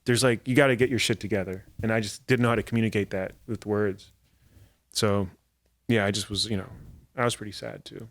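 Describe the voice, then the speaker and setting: A man's voice: lowish voice, fairly even intonation, a little fast, fairly bright tone. A man who is a guest comedian, chatting casually on a podcast and telling loose, rambling stories full of fillers.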